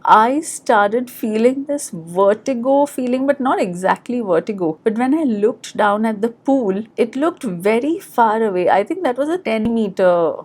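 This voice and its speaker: A woman's voice: a little high, a little mellow. A woman with an Indian accent telling personal memories as a podcast host, in a plain-spoken, reflective manner. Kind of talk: personal storytelling.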